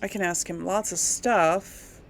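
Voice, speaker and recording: A woman's voice: on the low side, fairly even intonation, a little slow, soft background music. A woman hosting a casual let's play, musing aloud informally and hesitantly as she talks and role-plays through a game. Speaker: gaming video host.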